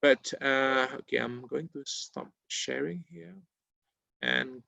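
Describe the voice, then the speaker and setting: A man's voice: medium pitch, takes it slowly, creaky, pushed and bright, no room sound. A man in his thirties whose first language is Japanese, presenting a screen-share tutorial in a webinar, with hesitant speech full of fillers and restarts, recorded on a laptop mic over a video call at home. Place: indoor room at home.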